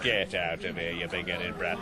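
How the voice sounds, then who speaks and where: A man's voice: deep voice, level tone, normal speed, crowd noise, natural voice. Wry, fatherly farm foreman played by a man. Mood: teasing. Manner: dry, cautioning, teasing. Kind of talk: radio drama acting.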